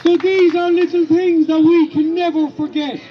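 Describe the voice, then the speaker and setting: An Irish man with a big, loud voice giving an emphatic outdoor protest speech, repeating his points with indignation. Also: very high voice, level tone, normal speed, dull audio, a faint crowd, a little hiss or hum. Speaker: rally speaker. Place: street rally outdoors.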